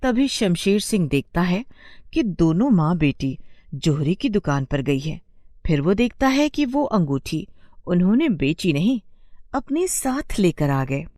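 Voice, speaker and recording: A woman narrates a scripted bedtime tale as storyteller. The voice sits a little low and mellow, moving through lively rises and falls, recorded dry and close. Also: unhurried, dry recording.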